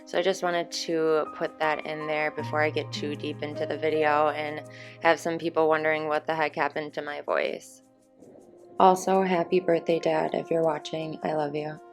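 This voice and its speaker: A young woman hosting her channel, chatting casually over gameplay, her voice a little hoarse. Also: deep voice, normal speed. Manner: relaxed, chatty explaining with fillers. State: slightly hoarse from screaming. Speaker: gaming channel host. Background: soft background music.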